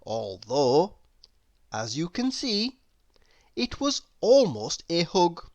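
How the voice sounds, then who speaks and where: A woman narrating a children's story in an expressive, emphatic read-aloud style. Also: lowish voice, takes it slowly, dry recording. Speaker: storybook reader.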